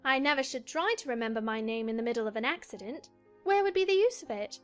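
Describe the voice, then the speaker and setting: A woman's voice: a little high, expressive, fast talker, fairly bright tone. A woman playing a polite, sensible, puzzled little girl, earnest and faintly exasperated, in an audiobook drama. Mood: bewildered. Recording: music behind the voice.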